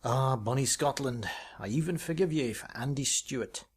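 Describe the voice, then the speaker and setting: A man's voice: medium pitch, moderate pace, slightly rough, natural voice. A man voicing a plump, grumbling, tippling accountant who mutters to himself in a story reading. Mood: mock sentimental. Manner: muttering to himself under his breath. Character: plump, grumbling, boozy male city accountant.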